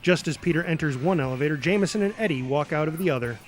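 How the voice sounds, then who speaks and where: High-voiced man reading stage directions. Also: very fast, faint music behind. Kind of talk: scripted screenplay narration.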